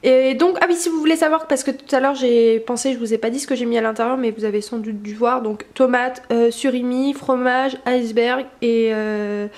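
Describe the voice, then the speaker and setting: A woman's voice: on the high side, fairly even intonation, brisk, few pauses, a little mellow, echoey room. Chatty young woman with a cold. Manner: chatty, many fillers and restarts. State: stuffy with a cold. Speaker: vlogger. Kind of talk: casual daily vlog chat.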